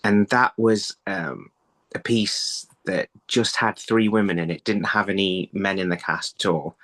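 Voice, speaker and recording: A man in his thirties giving conversational interview answers over a video call, haltingly, thinking aloud and repeating words. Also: deep voice, steady tone, a bit bright. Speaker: writer being interviewed. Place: indoor room, video call. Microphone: phone on a video call.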